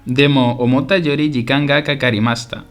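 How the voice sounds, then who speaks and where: A man acts out a polite, eager tourist from Spain in a radio drama for language learners. His pitch is mid-range and his sentences are simple and clearly spoken. Faint music plays behind him. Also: fairly even intonation, normal speed, natural voice. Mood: polite, friendly. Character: polite, eager Spanish tourist visiting Osaka.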